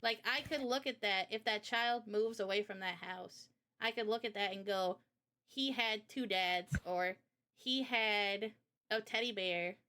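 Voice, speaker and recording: A woman's voice: mid-range voice, unhurried, bright, forceful tone, a little hiss or hum. A woman in a casual livestream chat, explaining her ideas in an informal, opinionated way. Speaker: streamer and game developer.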